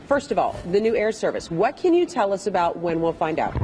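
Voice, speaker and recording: A woman's voice: fairly low pitch, big pitch swings, brisk, a little mellow. A woman working as a live TV news reporter, interviewing on location in a chatty, conversational, playful way. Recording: faint background noise.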